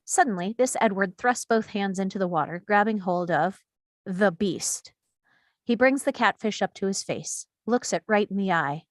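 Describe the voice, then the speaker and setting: A woman's voice: medium pitch, moderate pace, no echo. A woman reading stage directions as scripted narration at a screenplay table read.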